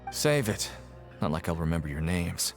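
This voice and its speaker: A man playing a curt, gruff prison guard with a brusque, dismissive manner in a video game. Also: sits low, big pitch swings, normal speed, faint music behind. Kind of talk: English video game voice acting.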